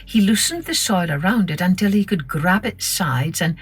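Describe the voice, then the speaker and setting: Low-pitched woman telling a children's story. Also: expressive, normal speed, over loud music. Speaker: storytelling podcast host. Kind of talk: scripted children's story narration.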